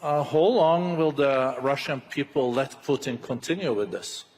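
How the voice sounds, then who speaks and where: A man's voice: medium pitch, measured pace, a little mellow. Man moderating in an echoing hall. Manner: casual, filler-heavy questions. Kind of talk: onstage interview. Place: conference hall stage. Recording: reverberant conference hall.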